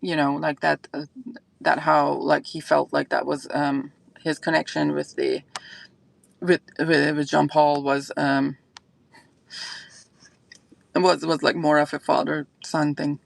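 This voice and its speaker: A woman's voice: low voice, steady tone, slow pace, vocal fry, long pauses, dry recording. A woman from Iran with an Iranian accent, whose first language is Azeri, hosting a casual livestream chat and thinking aloud with lots of fillers. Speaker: fragrance channel host.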